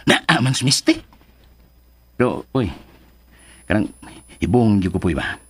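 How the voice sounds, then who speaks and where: A husky, scheming older man in a Philippine radio drama. His pitch lurches wildly from muttering to agitated yelps. He blusters with a hot temper and a Cebuano Filipino accent. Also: big pitch swings, unhurried, no room sound, heavily acted. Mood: frustrated.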